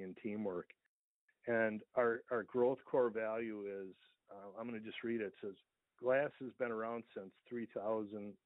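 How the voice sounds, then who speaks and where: American man, lowish pitch, earnest conversational explaining, interviewee, narrow telephone sound. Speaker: small business owner being interviewed. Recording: thin, echoey phone line.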